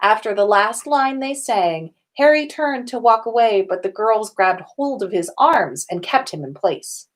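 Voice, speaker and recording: A woman's voice: mid-range voice, animated, a little slow, few pauses. A woman podcast host reading fan fiction aloud in an informal storytelling style.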